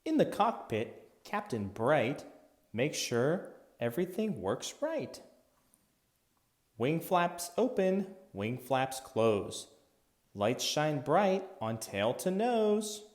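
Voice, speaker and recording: A man narrating a rhythmic children's picture book as an expressive read-aloud for young listeners. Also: a little high, slow.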